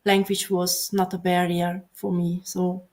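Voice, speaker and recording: Slow-speaking woman. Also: fairly low pitch, monotone, a little mellow. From Hungary. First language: Hungarian. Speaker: guest. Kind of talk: casual interview chat.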